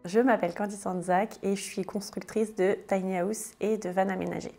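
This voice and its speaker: A woman's voice: medium pitch, fairly even intonation, moderate pace, creaky, warm tone, faint music behind. A French woman in her thirties answering interview questions casually, with many hesitations and restarts. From France. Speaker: guest, tiny house builder.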